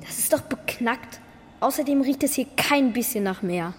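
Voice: mid-range voice, animated, normal speed, lightly acted. A performer playing a stubborn, loyal young boy who wants his dog, plain-spoken and defiant, in a children's radio play. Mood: defiant, wistful. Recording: echoey hall, faint noise.

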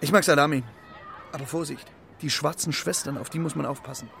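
A man's voice: fairly high pitch, fairly even intonation, fairly quick, gravelly, background noise. An actor playing a brash, streetwise, embittered male street pigeon in a children's radio play, with slangy, bossy talk. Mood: wary, bitter.

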